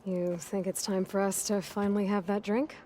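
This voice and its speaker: An actress playing a young warrior heroine in a video game, earnest, guarded but caring, with a measured, dry wit. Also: female voice, mid-range voice, level tone, warm tone, soft background music, natural voice. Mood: amused, curious. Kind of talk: video game cutscene acting.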